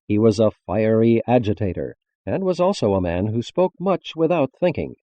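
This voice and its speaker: A man reading a religious text as a formal scripted narration, measured and carefully enunciated. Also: lowish voice, expressive, warm tone, no room sound, lo-fi sound.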